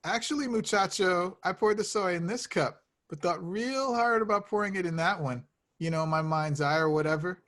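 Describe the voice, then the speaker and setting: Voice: mid-range voice, normal speed, a bit bright, lightly acted. An actor playing an awkward, sardonic young slacker hero in a casual, wry table read. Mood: cocky. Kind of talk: amateur screenplay table read.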